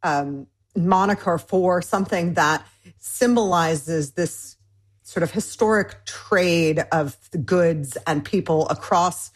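Low-voiced woman, thoughtful guest. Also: takes it slowly. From Michigan, USA. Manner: thoughtful, enthusiastic, many fillers. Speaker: cookbook author guest. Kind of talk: radio interview.

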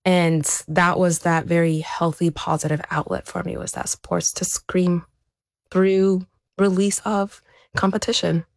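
A woman speaks as an interview guest, reflecting on personal experience in a conversational way. Her voice sits on the low side with plenty of vocal fry, and the recording is dry. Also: level tone, unhurried, no room sound. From born in Ukraine, raised there to age seven, then America. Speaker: interview guest, elite athlete.

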